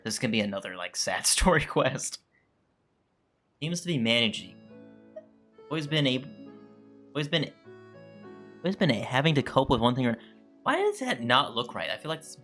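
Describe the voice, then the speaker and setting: A man's voice: mid-range voice, fairly bright tone. Offhand male gamer over game music. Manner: rambling, offhand. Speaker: gaming streamer. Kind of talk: casual game playthrough commentary. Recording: clear music behind the voice.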